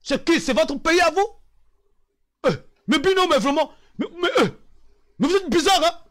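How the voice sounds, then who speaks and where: A man from the Republic of the Congo speaks in a very high, animated voice that swings widely in pitch. He hammers points home with repeated phrases, then sinks into low, weary asides. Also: normal speed. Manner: emphatic, repeats phrases for emphasis, drops to low sighing asides. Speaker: online commentator. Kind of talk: impassioned political monologue.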